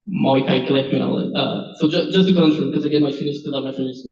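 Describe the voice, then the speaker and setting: A man's voice: mid-range voice, steady tone, quick pace, darkish tone. A man from France with a French accent, a native French speaker, answering panel questions as a candidate in an informal, candid, self-deprecating way. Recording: dull, echoey room, distant mic.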